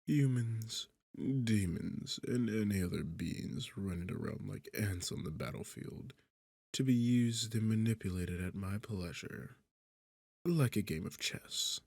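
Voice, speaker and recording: A sarcastic demon king played by a man speaks in a husky, low-pitched voice, close and dry as in an ASMR roleplay. He is theatrical and condescending, teasing in an unhurried way with a gravelly edge. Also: a little mellow, no echo. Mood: haughty, commanding. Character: sarcastic, smug demon king toying with a captured hero. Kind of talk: ASMR roleplay audio drama.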